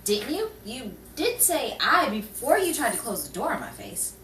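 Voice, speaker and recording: A woman's voice: a little high, sing-song, normal speed, fairly bright tone. Woman acting a persistent noir reporter, roomy distant sound. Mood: insistent, reassuring. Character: persistent, persuasive female newspaper reporter in a noir story. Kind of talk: improvised noir radio-play acting. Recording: roomy, distant mic with faint noise.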